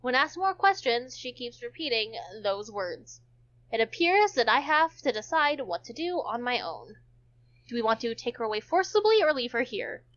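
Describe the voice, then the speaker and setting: Female amateur narrator for a game stream, casual reader, bright and slightly high, muffled recording. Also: sing-song, moderate pace. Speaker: host and narrator of a visual novel stream. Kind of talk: amateur read-aloud narration with casual asides.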